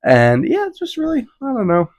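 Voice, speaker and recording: A man's voice: extremely high pitch, huge pitch swings, normal speed. A man of twenty hosting a solo podcast, rambling casually with lots of "um" and "you know". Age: 20s. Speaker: podcast host.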